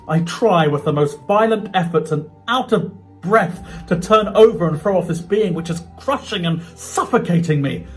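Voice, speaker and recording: A man's voice: a little high, natural voice. A man playing a tormented, unravelling man in a dramatic, intense reading of a classic ghost story. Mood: terrified, struggling. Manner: theatrical, intense, swinging from hushed dread to agitation. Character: frightened, tormented man slowly unravelling, confessing his night terrors. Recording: music behind the voice.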